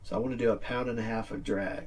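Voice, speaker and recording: A middle-aged man with a husky voice giving a casual, plain-spoken instructional demonstration, recorded indoors from a few feet away. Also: on the low side, steady tone, fast, a little mellow, recorded from a distance. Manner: plain-spoken, informal explaining. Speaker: fishing video presenter. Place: small indoor room with green screen backdrop.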